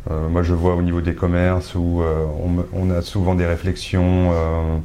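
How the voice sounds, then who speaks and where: A man with a very deep, mellow voice answers interview questions. He speaks in a flat, even tone and searches for words often, filling pauses and restarting his sentences. He is a shop owner from Brittany. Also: a little hiss or hum. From Brittany, France. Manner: hesitant, many filler pauses and restarts.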